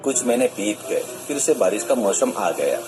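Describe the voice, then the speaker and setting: A man's voice: lowish voice, very animated, moderate pace. A man reading a scripted story as narrator. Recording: music bed under the voice. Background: music.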